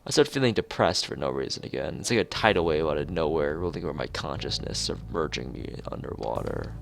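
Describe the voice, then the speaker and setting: A man reading a visual novel aloud in an expressive narrating style, as a let's-player. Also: rumbling low, a little fast. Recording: music behind the voice. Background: clear background music.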